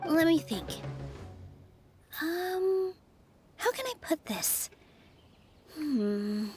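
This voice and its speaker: A woman voicing a gentle, earnest, slightly nervous young woman in a video game, soft and sincere. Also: sits high, lively intonation, extremely slow, exaggerated voice. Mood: hesitant. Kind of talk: video game character acting. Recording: music behind game dialogue.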